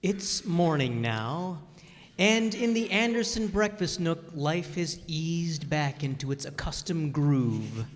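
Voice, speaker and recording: A man narrating a live old-time radio play, wry and unhurried. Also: on the high side, animated. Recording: live stage recording in a hall.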